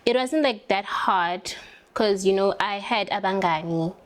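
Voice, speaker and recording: A young South African woman talks in a loose, rambling way, with lots of fillers and stretched words. She chats as she applies her makeup, her voice sitting at an ordinary middle pitch. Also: unhurried. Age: young adult. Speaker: interviewee doing makeup. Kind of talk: casual chat while applying makeup.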